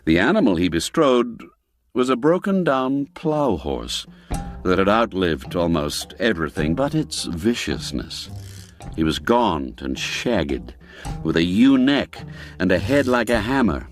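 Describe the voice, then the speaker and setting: A man narrating a classic literary tale in a theatrical, measured storytelling manner with expressive emphasis. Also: deep voice, soft background music.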